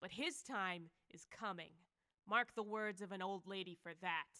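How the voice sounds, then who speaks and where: A woman's voice: mid-range voice, normal speed, sharp tone, lightly acted. A woman playing a bitter, grumbling, folksy old peasant woman in old-time radio horror style. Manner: querulous, scolding, folksy.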